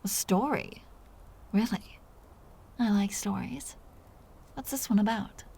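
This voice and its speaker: Dominant, predatory female vampire played by a woman for intimate audio drama: amused, softly condescending, unhurried, whispery with a creaky edge. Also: medium pitch, full character voice. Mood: curious, teasing. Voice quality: breathy, whispery. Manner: slow, teasing, softly condescending.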